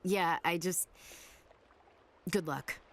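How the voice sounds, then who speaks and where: A woman's voice: fairly low pitch, very slow, fairly bright tone, exaggerated voice. An actress playing a blunt, loyal, no-nonsense female soldier in a video game. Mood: subdued, sincere. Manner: brash, no-nonsense. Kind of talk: video game cutscene acting.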